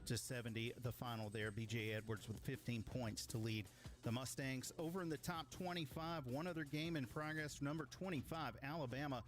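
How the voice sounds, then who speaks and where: Man, studio host, brisk score rundown, mid-pitched voice. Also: soft background music. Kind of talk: radio sports studio update.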